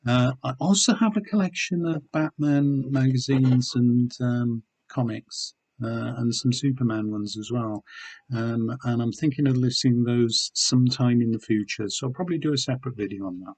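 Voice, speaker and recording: A middle-aged man from the United Kingdom, slightly hoarse, talking casually and rambling with many ums as he shows his eBay items to the camera. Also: mid-range voice, normal speed, a little mellow. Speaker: eBay seller reviewing weekly sales. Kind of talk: casual video chat showing items.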